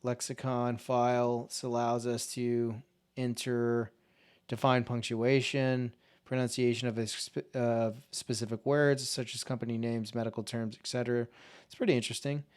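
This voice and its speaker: A man explains a software tool in a loose, conversational tutorial. His voice is smooth and clear at a mid pitch. He talks informally and thinks aloud as he goes. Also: little pitch movement, normal speed. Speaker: tech tutorial host. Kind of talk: casual screen-share software walkthrough.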